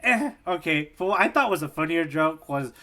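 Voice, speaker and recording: A man co-hosting a podcast in casual, comedic chat, telling things in an animated, jokey, rambling way. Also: on the high side, moderate pace. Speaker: podcast co-host.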